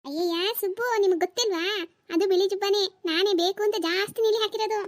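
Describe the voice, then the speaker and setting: Casting: performer to play a scatterbrained cartoon mother and wife, extremely high-voiced, quarrelsome, full of nagging exclamations. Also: fairly even intonation, fast. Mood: defensive. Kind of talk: comedy cartoon dialogue.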